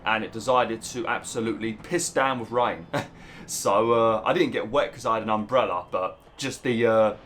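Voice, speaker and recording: A young British man talks through a game in a relaxed, chatty way, his voice sitting a bit low. His throat sounds a little rough and hoarse. He now and then bursts out in mild, exasperated swearing at what happens on screen. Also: normal speed, a little hiss or hum. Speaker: let's play host. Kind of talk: casual gameplay commentary.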